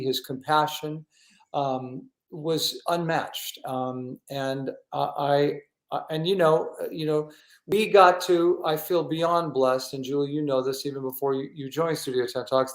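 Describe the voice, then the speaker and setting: A man hosting a casual talk show, speaking in an earnest, effusive and halting way in an echoey room. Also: on the high side. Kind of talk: casual interview chat. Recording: roomy, echoing sound.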